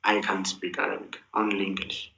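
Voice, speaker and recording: A man's voice: deep voice, little pitch movement, normal speed, vocal fry, roomy sound, noisy background. A man hosting a casual livestream chat, switching between languages and keeps urging viewers to tap and share. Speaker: livestream host.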